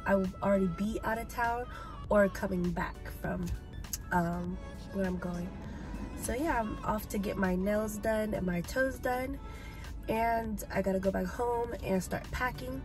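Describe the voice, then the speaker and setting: A woman's voice: medium pitch, fairly even intonation, a little slow, music in the background. A young woman in her twenties chatting casually to her phone camera for a vlog, sounding slightly nasal. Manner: chatty, addresses viewers as "you guys". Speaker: vlogger. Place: car interior, then bedroom. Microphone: phone camera at arm's length.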